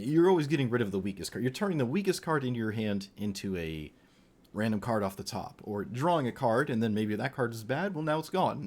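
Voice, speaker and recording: Male streamer, conversational unscripted game commentary, opinionated asides, slightly tired voice. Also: mid-range voice. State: voice getting tired, losing it. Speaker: streamer reviewing card game decks.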